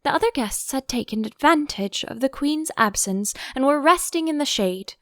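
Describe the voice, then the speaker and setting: Animated woman narrating, rather high voice. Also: normal speed, dry recording, clean studio sound. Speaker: audiobook narrator. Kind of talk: expressive scripted storybook narration.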